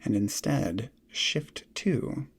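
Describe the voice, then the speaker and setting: A man guiding a meditation in soft, breathy, measured short phrases with long pauses, often trailing into a near whisper. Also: mid-range voice, animated. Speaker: meditation guide. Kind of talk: guided meditation, slow instruction.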